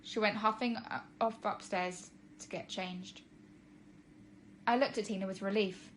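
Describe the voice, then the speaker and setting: A woman's voice: mid-range voice, steady tone, measured pace, slight background noise. A woman reading a children's storybook aloud as a narrator, like a teacher to pupils.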